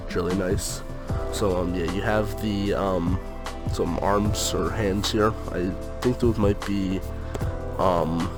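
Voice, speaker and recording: A young man with a very deep, congested voice, stuffed up from a cold. He rambles loosely through a sneaker unboxing at home, leaning on um and like as he goes. Music plays clearly behind him. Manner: rambling, lots of fillers like um and like. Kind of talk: casual unboxing chat.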